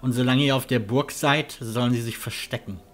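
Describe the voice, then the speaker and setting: A man playing a calm, friendly hunter and guide in a fan-made fantasy audio drama. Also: medium pitch, a little fast, soft background music, natural voice. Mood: matter-of-fact. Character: friendly, down-to-earth male hunter guide Jannis.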